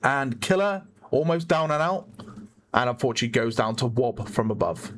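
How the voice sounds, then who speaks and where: A man with a hoarse voice giving chatty play-by-play commentary on a competitive online shooter match, often correcting himself. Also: medium pitch, lively intonation, moderate pace, fairly bright tone. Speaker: esports caster. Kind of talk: live game commentary.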